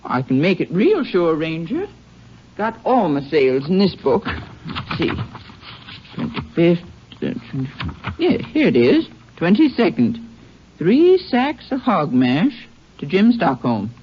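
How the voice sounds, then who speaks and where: A woman's voice: fairly low pitch, huge pitch swings, slow, pauses often, full character voice. Chatty country store lady, american, super swoopy emphatic voice, muffled old radio western. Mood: helpful, certain. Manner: folksy, emphatic. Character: chatty, helpful, sure-of-herself female feed store clerk. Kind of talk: 1950s radio western acting. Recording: dull, noisy old radio recording.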